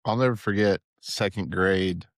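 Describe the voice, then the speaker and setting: A man co-hosting a casual sports podcast, easygoing and a little halting in his speech. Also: deep voice, no echo, studio quality. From Arkansas. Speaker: podcast co-host.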